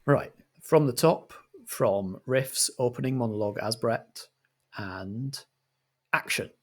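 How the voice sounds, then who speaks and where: A British man hosting and narrating improvised radio comedy in a measured, mock-formal manner. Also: mid-range voice, slightly rough. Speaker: host and game master.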